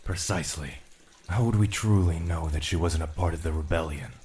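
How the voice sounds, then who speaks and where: An actor playing a blunt, formal non-human attendant in an audio drama, dry and scornful of humans. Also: male voice, low voice, a little fast, a little mellow, natural voice. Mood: dry suspicion.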